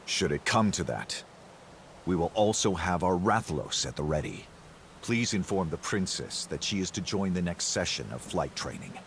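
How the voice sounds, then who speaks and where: A man's voice: sits low, very animated, moderate pace, a little hiss or hum. An actor playing a stern, commanding king in a video game cutscene, speaking formally and with authority. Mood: firm, assured. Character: stern, commanding king addressed as His Majesty.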